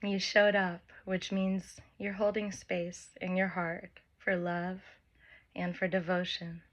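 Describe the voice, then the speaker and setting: A woman guides a meditation in a lowish voice that stays very level. She speaks very slowly, in gentle, short phrases with long pauses, giving soft instructions. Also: little pitch movement. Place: by the open air with wind and birds.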